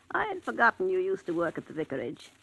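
A frail, breathy old invalid woman. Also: lowish voice, huge pitch swings, brisk, a bit bright, lo-fi sound, full character voice. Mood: chatty. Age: older. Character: frail elderly woman, invalid, trusting and chatty. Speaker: invalid widow in a cottage. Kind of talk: 1940s radio acting.

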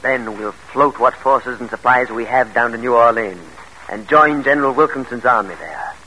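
A man's voice: medium pitch, normal speed, sharp tone, lightly acted. An actor in a classic radio drama playing a scheming, grandiose exiled father with a commanding, theatrical manner. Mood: confident. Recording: noisy old radio drama recording.

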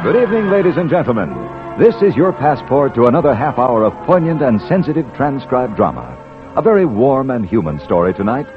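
A male announcer on a vintage radio drama, polished and formal, his pitch swinging widely from phrase to phrase. Music plays along, and the old recording is narrow and dull. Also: very animated, fairly quick.

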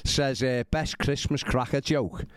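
A man's voice: medium pitch, measured pace, clean tone, no room sound, studio quality. A man hosting a podcast in a casual, chatty style. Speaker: podcast host. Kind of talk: casual chat.

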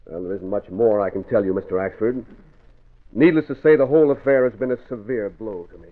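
An actor playing a formal, self-important company boss with a wounded air, in 1940s radio style. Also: male voice, lowish voice, fairly quick, lightly acted. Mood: regretful, formal. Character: pompous, wounded company boss feigning regret. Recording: tinny, narrow vintage radio recording.

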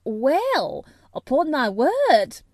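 A woman's voice: high voice, wildly swooping pitch, drawn-out words, a little mellow, no room sound, studio quality, pitched above the performer's own voice, full character voice. A woman voicing an older housekeeper exclaiming in amazement in broad Yorkshire. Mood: astonished. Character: stern older housekeeper, astonished.

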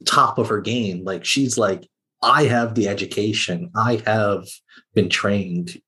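A man's voice: fairly low pitch, takes it slowly, pushed and bright. A man speaking as a podcast guest in a casual discussion.

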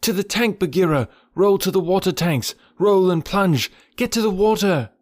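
A man's voice: fairly high pitch, normal speed, darkish tone, dry recording, studio quality, voice pushed up, natural voice. A man voicing a young jungle boy calling out urgently to his friend.

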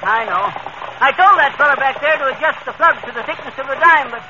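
An actor playing a folksy, cocksure, bluffing husband who argues in ungrammatical speech, in 1930s radio comedy style. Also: male voice, very high voice, quick pace. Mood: defensive. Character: folksy, bluffing, argumentative husband and hapless motorist. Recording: muffled old radio broadcast, faint noise.

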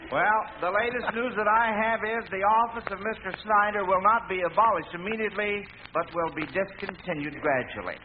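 A man's voice: sits high, fairly quick, hardly any pauses. A man hosting a 1940s radio quiz show, addressing contestants in a formal announcer's manner. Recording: noisy lo-fi vintage radio broadcast.

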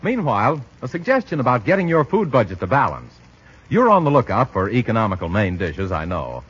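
A male announcer in vintage 1940s radio style, polished and theatrical. His slightly rough voice rises and falls dramatically, and the recording is muffled. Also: mid-range voice, wildly swooping pitch, fairly quick, fairly bright tone. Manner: polished, theatrical sales delivery.

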